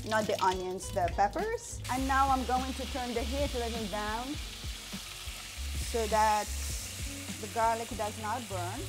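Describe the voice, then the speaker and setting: A woman in her forties from Morocco, hosting a cooking show and explaining each step as she cooks in a home kitchen on a lapel mic. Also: mid-range voice. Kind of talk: cooking demonstration.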